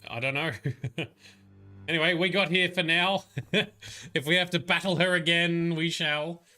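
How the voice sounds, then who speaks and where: A man's voice: fairly high pitch, fairly even intonation, measured pace, bright, forceful tone, faint music behind. A man giving casual, rambling commentary while livestreaming a game, muttering asides and grumbling at the characters. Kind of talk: casual live gameplay commentary.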